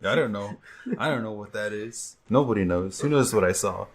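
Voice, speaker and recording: A man's voice: on the low side, normal speed. A man reading aloud casually and comedically, breaking off into joking asides as he goes. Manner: joking, riffing asides between read passages. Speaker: co-host reading aloud and commenting.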